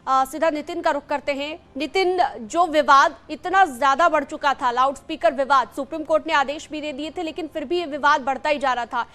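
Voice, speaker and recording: A woman's voice: high-pitched, a little fast, hardly any pauses, a bit bright. A woman presenting live television news as an anchor, in an emphatic, repetitive manner.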